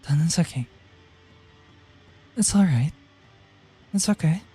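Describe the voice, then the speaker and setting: A man voicing a gentle teenage schoolgirl who speaks with soft, nonchalant reassurance. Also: a little high, expressive, slow pace, husky, faint music behind, pitched above the performer's own voice, exaggerated voice. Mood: soothing. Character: gentle teenage girl classmate, calm.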